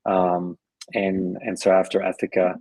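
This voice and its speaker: A man's voice: low-pitched, monotone, measured pace, darkish tone, no room sound. A middle-aged man being interviewed on a podcast, speaking in an easygoing conversational way with frequent restarts and repeated words. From Switzerland and Colombia. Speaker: podcast guest, venture investor.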